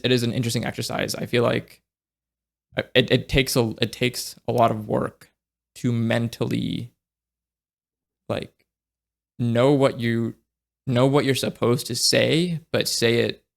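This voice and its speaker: Gravelly, halting young man. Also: mid-range voice. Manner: halting, with many restarts and fillers; reflective, searching for words. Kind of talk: casual conversation.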